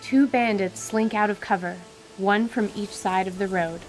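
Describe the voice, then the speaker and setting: A woman's voice: medium pitch, moderate pace, rough recording. Woman narrating fantasy drama over faint music.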